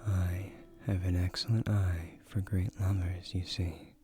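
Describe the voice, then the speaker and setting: A man playing an ancient guardian spirit of a magic tree in a romantic audio roleplay, a tender, courtly suitor speaking formally and soothingly, often breathy. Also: deep voice, flat delivery, mellow, faint music behind. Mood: wooing, intent. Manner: formal, measured, soothing, seductive, often breathy near-whisper.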